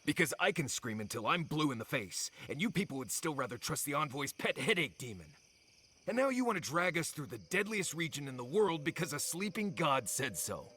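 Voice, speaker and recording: A man's voice: fairly high pitch, very animated, fairly quick, pushed and bright, slight background noise. A man acting a stubborn, grumpy guide in a video game, blunt and sarcastic. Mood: exasperated. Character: grumpy, sardonic, stubborn male fantasy guide.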